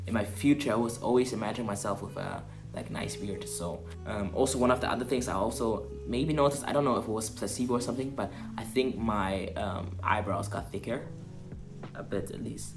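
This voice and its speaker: A young man giving a casual personal update to camera, relaxed and rambling with lots of fillers. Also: fairly low pitch. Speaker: vlogger. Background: soft guitar music.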